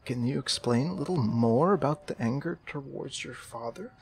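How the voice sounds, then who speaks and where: A man playing an eccentric, earnest amateur therapist in a roleplay game, halting and awkward, repeating himself for emphasis. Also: mid-range voice, gravelly, darkish tone, a little hiss or hum, lightly acted. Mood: awkward, uneasy. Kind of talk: improvised roleplay in a zombie survival game.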